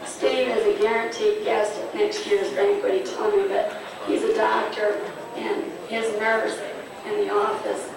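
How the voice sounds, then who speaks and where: A hoarse woman presenting awards at a fan club banquet, chatting informally and a little haltingly to the crowd. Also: medium pitch, little pitch movement. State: losing her voice, hoarse. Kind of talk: speaking to a banquet crowd over a PA. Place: large banquet hall. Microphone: PA microphone recorded from a distance. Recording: distant echoey banquet hall.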